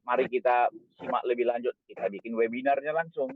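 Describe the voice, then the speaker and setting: A man's voice: mid-range voice, steady tone, fairly quick. A man pushes the group toward bold, direct action in an online meeting. His voice comes through a tinny, phone-like line. A faint hiss sits under it. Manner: forceful, urging bold action. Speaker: meeting participant. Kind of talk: casual online meeting discussion.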